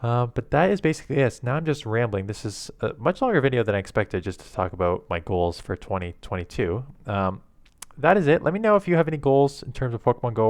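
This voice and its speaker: A man, rambling casually to camera in a monotone, low-key way on an old headset mic at his home desk. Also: no echo, studio quality. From Canada. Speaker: YouTube content creator. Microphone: old headset microphone.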